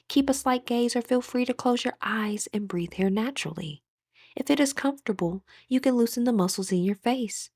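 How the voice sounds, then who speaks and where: A woman leading a guided meditation in a soothing, deliberate way, with breathy, whispery word endings. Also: high voice.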